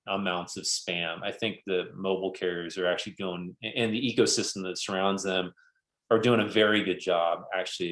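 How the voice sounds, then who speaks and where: A man's voice: deep voice, a bit bright. A man giving an informal business presentation, explaining things step by step and often restating himself. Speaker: webinar presenter. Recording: roomy, echoey sound.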